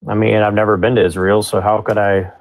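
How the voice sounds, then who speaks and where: A man's voice: on the low side, flat delivery, fast. A man calling into a live stream, questioning earnestly and hesitantly, often repeating his words. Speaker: caller. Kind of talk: earnest argument on a live call.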